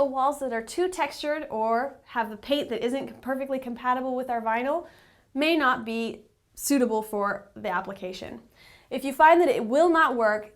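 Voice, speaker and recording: A woman narrating a how-to tutorial, explaining things step by step as an instructor. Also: on the high side. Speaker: instructor, company representative.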